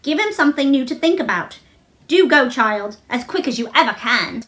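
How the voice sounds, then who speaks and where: A woman playing a flustered, frightened nurse in a storybook reading, speaking in an anxious, hurried way. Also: high voice, animated, fairly bright tone. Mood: urgent.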